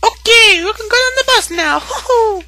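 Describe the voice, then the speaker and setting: A man's voice: extremely high pitch, normal speed, pushed and bright, faint music behind, exaggerated voice. A man voicing a friendly, very girly character in a video game.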